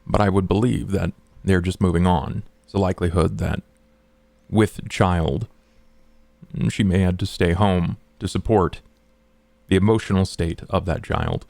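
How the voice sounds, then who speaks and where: A man playing a formal, analytical vampire adviser in a tabletop game, speaking precisely and deliberately. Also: deep voice, fairly even intonation, gravelly, a little mellow, no echo. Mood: measured, formal.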